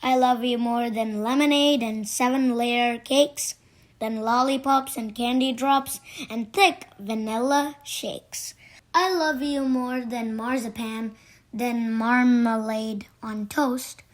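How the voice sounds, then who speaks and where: A woman reading children's poems aloud from a storybook in a deliberate way. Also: fairly high pitch, fairly even intonation. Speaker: storybook reader. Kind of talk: read-aloud children's poetry.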